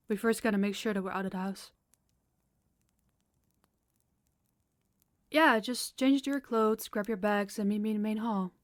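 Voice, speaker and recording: A woman playing a kind, practical vampire guide in an audio roleplay, speaking in a warm, reassuring manner. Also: on the high side, dry recording, lightly acted. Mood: caring.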